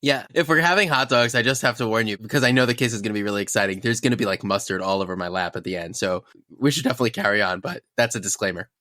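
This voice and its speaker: A man's voice: lowish voice, rapid-fire, bright, forceful tone, dry recording, clean studio sound. Enthusiastic male podcast host. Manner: enthusiastic, playful. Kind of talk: scripted intro then casual chat.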